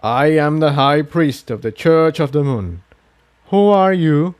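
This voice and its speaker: A man voicing an elderly unicorn high priest in a storybook, formal, courteous but guarded, with a strong, clear voice. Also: expressive, unhurried, a little mellow, dry recording. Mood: commanding, questioning. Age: older. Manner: formal, authoritative. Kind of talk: storybook character voice.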